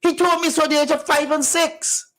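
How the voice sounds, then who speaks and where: A man's voice: very high-pitched, level tone, a little fast, fairly bright tone. A man co-hosting a radio talk show, lecturing insistently and talking over the others in a heated discussion. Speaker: radio co-host. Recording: radio studio.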